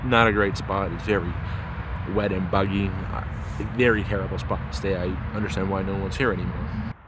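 A man's voice: fairly even intonation, fairly quick. A man narrating a walking tour in a casual, plain-spoken, matter-of-fact way, as a vlogger. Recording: noisy outdoor handheld recording with ambient rumble.

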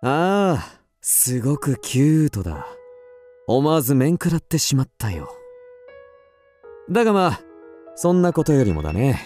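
An actor plays a suave, indulgent husband in a radio drama. The mellow voice moves up and down a lot as he gently chides, recorded dry over faint music. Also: mid-range voice, big pitch swings, measured pace, pauses often. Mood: amused, disapproving. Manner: smooth, teasing, condescending.

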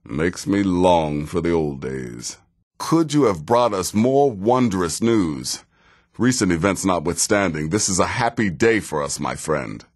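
Very deep, sardonic veteran assassin. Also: male voice, sing-song, exaggerated voice. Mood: dry, sardonic. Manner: dry, sarcastic, deliberate delivery. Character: sardonic, world-weary veteran male assassin of a dark guild. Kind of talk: scripted fantasy video game dialogue.

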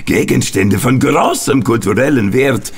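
A man's voice: mid-range voice, expressive, unhurried, slightly rough, soft background music. An actor playing a hot-tempered Italian man confessing a failed mission in an audio drama. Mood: bitter recounting. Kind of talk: German radio play acting.

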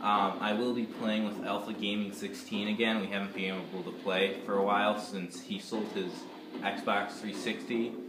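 A man's voice: fairly low pitch, flat delivery, normal speed, background noise. A teenage boy recovering from a cold, stuffy and sore-throated, chatting casually with many ums while playing a shooter. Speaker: gaming YouTuber. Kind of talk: casual gameplay commentary. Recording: echoey room with loud game music. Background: loud game music and gunfire.

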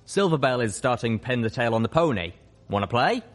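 An easygoing young male dragon , played by a man from a storybook. He talks in a friendly way, a little higher and louder than plain narration. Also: very animated, brisk, close to an everyday voice. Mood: inviting.

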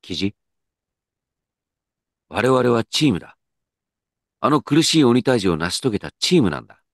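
An actor playing an earnest, dignified company president in a comedic radio drama, with pompous, measured executive speech. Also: male voice, mid-range voice, sing-song, dry recording, studio quality, natural voice. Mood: earnest, supportive.

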